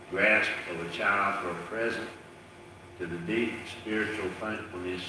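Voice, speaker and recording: Deep-voiced pastor reading in an echoey church. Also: male voice. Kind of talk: reading a Christmas story aloud to a congregation. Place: church sanctuary. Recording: echoey church from far off, background noise.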